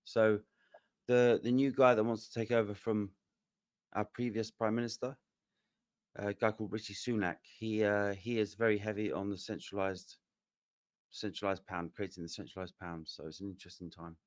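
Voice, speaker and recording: A man from talks hesitantly in a voice chat. His voice is fairly low and his sentences trail off into quiet. The sound is dry and close. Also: flat delivery, moderate pace, no echo. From London, England. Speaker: voice chat participant. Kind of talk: casual chat.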